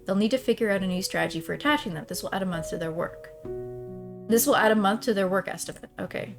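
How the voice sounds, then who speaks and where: A woman reads a visual novel aloud and chats casually as she plays it. Her pitch is middling, and she often trails off mid-thought in an informal way. Music plays behind her voice. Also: fast, creaky. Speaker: streamer playing and reading a visual novel. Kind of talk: casual let's-play commentary mixed with read-aloud narration.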